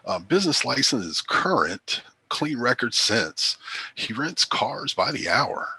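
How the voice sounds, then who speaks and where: A man's voice: mid-range voice, big pitch swings, measured pace, pushed and bright, breathy edge, no echo, heavily acted, rough recording. A man playing a dutiful police officer reporting facts in a clipped way at a screenplay table read. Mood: businesslike. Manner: clipped, factual.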